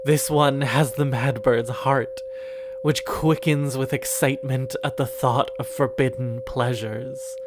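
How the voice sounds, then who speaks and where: Slow, hushed horror narrator, a man. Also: medium pitch, fairly even intonation, background noise. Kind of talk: second-person horror story narration.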